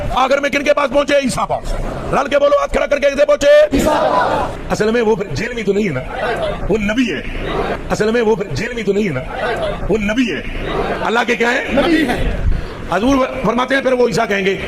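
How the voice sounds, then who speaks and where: Punjabi pakistani preacher guy, really high pitched, declaiming to a live crowd that u can clearly hear. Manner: declamatory, prompting the crowd to respond. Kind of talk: rousing popular sermon. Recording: live gathering with loud crowd.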